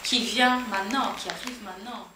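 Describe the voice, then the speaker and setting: A woman's voice: medium pitch, brisk. A young woman from northern Italy with an Italian accent, speaking earnestly in an interview and at times hunting for the French word. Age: young adult. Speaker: interviewee, cultural association co-founder. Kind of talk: casual interview talk. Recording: roomy echo, distant mic, noisy.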